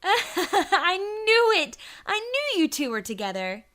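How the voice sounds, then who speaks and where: A woman acting an excitable, playful pony in a fan audio drama, gleeful and teasing. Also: very high voice, slow pace, exaggerated voice.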